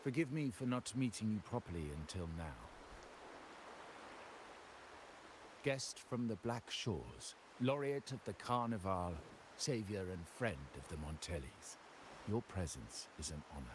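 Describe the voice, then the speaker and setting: An actor playing a formal, self-possessed uncle and heir of a crime family in a video game, with measured, courtly delivery and dramatic pauses. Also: male voice, on the low side, animated, background noise, close to an everyday voice. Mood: courteous, proud. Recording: background music under the voice.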